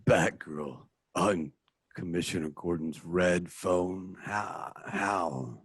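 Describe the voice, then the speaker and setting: Stern caped crusader, low voice, husky, dry close sound, radio play. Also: male voice, takes it slowly, no room sound, full character voice. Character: stern, measured adult male caped crime fighter. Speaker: voice actor in an online radio play.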